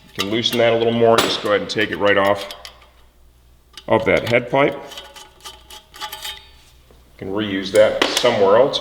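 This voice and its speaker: A man's voice: medium pitch, background noise. A plain-spoken, folksy man hosting a casual step-by-step motorcycle install tutorial, explaining things as he goes. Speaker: host of motorcycle parts install videos. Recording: echoey, reverberant room.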